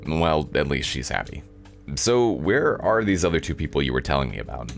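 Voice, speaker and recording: A man's voice: very deep voice, very animated, normal speed, creaky, faint music behind, voice pushed down, heavily acted. A man voicing a sardonic, disappointed young male protagonist, narrating a visual novel in a dry, incredulous way. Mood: bemused.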